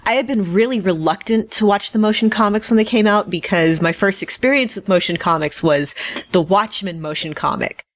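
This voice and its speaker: Low-voiced woman chatting on a podcast. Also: no echo. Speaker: podcast co-host. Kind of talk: casual chat.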